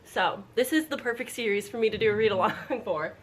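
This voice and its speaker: Woman, mid-pitched voice, casual book vlog, informal rambling delivery, self-interrupting, faint household noise behind. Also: a little fast. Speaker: book vlogger announcing a readalong. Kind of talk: casual chatty book talk to camera. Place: at home indoors. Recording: background room noise, household appliance.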